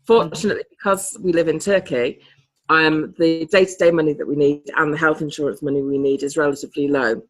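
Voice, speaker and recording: A woman's voice: low voice, steady tone, brisk, low-quality recording. A woman chatting casually on a group video call. She laughs often and sometimes loses her thread. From Britain. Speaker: participant in a coaching group call.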